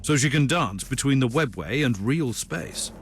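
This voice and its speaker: A man playing a stern, guarded psyker Inquisitor in a video game, speaking formally and gravely in terse phrases. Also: mid-range voice, lively intonation, moderate pace. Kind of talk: dramatic video game dialogue. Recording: music behind the voice.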